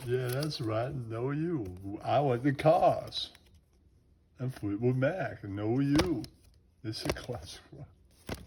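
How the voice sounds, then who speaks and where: A man's voice: medium pitch, takes it slowly, natural voice. A man voicing a bragging, taunting puppet who talks in slangy, repeated catchphrases. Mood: boastful. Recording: clearly noisy, crackling and crinkling.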